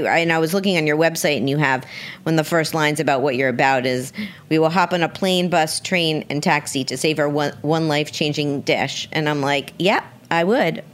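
A woman's voice: sits low, a bit bright. A woman hosting a conversational radio interview, enthusiastic and often repeating words as she goes. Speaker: radio show host and interviewer.

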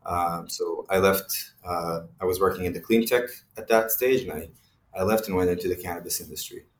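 A man from Israel speaks in a lowish voice, explaining his work in a thoughtful, halting way full of small fillers. He sounds a little distant from the mic. His voice carries a noticeable roomy echo. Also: steady tone, slight background noise. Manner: thoughtful, many fillers and restarts. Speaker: company CEO, guest. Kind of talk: interview answers.

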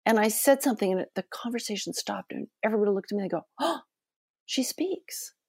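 A middle-aged American woman, a cookbook author and cooking teacher, telling lively self-deprecating stories in a soft voice during an interview. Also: medium pitch, fast talker, slightly rough, no room sound, studio quality. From United States. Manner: animated storytelling, self-deprecating. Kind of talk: conversational interview.

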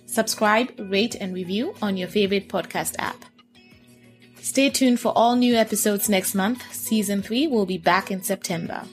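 A woman hosting a food podcast, reading a scripted intro smoothly and then chatting with her guest. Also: mid-range voice, normal speed, soft background music. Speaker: podcast host. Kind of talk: scripted intro and casual interview.

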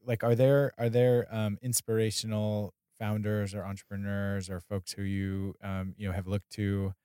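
A man's voice: fairly low pitch, level tone, moderate pace, mellow, clear, resonant tone, no echo, studio quality. A man hosting a casual interview, phrasing things tentatively and often murmuring agreement. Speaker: podcast host, interviewer.

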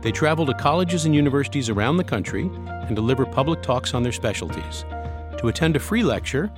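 A man hosting an interview podcast, speaking in a measured, formal way as he introduces his guest. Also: medium pitch, lively intonation, over music. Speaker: podcast host.

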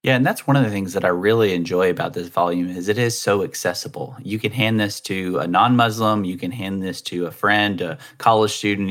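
A man hosting a podcast, reading a polished intro and then interviewing a guest. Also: deep voice. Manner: polished, steady delivery. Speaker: podcast host.

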